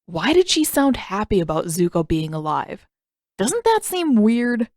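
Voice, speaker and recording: A woman's voice: mid-range voice, very animated, measured pace, darkish tone, no room sound. A woman acts out a teenage big brother from an adventure story, brash and protective. He pesters and grumbles with indignant emphasis. The sound is dry, with little room around it. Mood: puzzled, suspicious.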